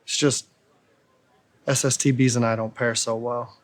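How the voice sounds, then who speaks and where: A man's voice: mid-range voice, little pitch movement, measured pace, no echo, close to an everyday voice. Brief: man as a breezy, old-fashioned charmer. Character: charming, smooth-talking old-time gentleman. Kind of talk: comedic noir skit acting.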